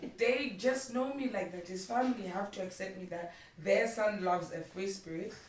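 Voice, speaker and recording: A woman's voice: mid-range voice, moderate pace. A woman from Zimbabwe with a Zimbabwean accent, chatting as an interview guest and making her points emphatically with repeated phrases. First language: Shona. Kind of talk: casual conversation about marriage. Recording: echoey room, distant mic, faint noise.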